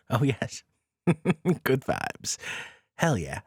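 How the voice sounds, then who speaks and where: Male actor as dorky gentle counselor, slow, hesitant, self-deprecating, husky, very dry sound, audio drama. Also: mid-range voice, wildly swooping pitch, dry recording, exaggerated voice. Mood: amused. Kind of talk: naturalistic audio drama acting.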